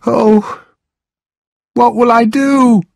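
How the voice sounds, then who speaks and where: A man voicing a miserable, despairing old man in a storybook, with a strained, creaky voice. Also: very high-pitched, fairly even intonation, extremely slow, darkish tone, dry recording, clean studio sound, voice pushed up, full character voice.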